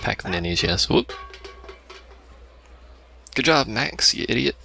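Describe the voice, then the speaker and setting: A man's voice: medium pitch, sing-song, a little slow, creaky, pushed and bright. A man doing casual let's play commentary, full of wry, sarcastic asides. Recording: game music behind the voice.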